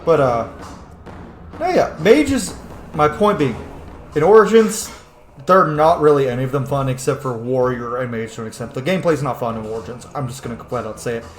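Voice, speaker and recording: A man's voice: medium pitch, expressive, brisk, a little hiss or hum. A man giving casual, sweary, emphatic and opinionated commentary as host of a video game playthrough. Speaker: host of a game roleplay series. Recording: roomy sound with faint game music.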